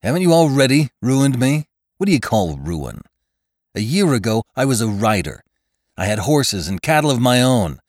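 Man playing a proud young rider, taunting, passionate, lively pitch. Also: normal speed, dry recording, clean studio sound. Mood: defiant.

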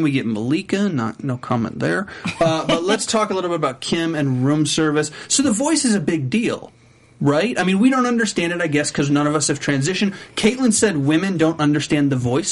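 A man hosting a casual podcast chat, talking loosely, laughing and thinking aloud as he leads the talk. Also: fairly high pitch. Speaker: podcast host. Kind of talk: casual chat about a reality show.

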